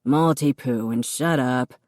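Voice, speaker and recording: A woman voicing a grown man who talks in a dry, gruff, understated way. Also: extremely low pitch, unhurried, darkish tone, dry recording.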